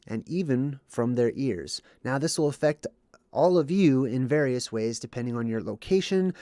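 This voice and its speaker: A man's voice: medium pitch, animated, moderate pace, dark tone, no room sound, studio quality. A man hosting a livestream, explaining to his audience while a bit under the weather and congested, often checking in with "okay" or "right". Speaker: livestream host. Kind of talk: explanatory monologue with chat reading.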